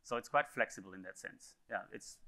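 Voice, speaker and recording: A man speaker answering audience questions after a technical conference talk, pausing often with fillers and restarts. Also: on the low side, bright, forceful tone. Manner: hesitant, many fillers and restarts.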